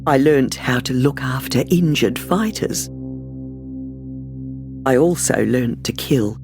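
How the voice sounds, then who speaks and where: A man giving a careful, clearly paced first-person storybook narration for language learners. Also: mid-range voice, expressive, takes it slowly, music in the background.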